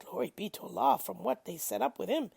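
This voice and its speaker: Husky, creaky woman reading scripture. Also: medium pitch, lively intonation, fast, darkish tone, no room sound. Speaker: reader of scripture. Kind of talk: expressive religious scripture reading.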